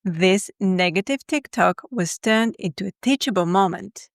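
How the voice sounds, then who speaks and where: A woman reading a scripted podcast introduction as announcer, crisp and even. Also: mid-range voice, normal speed, no echo, studio quality.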